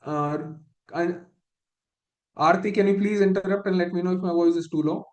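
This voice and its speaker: A man's voice: sits high, steady tone, moderate pace, darkish tone, roomy sound. A man instructor leading a live online spreadsheet lesson, explaining step by step and often restarting his phrases.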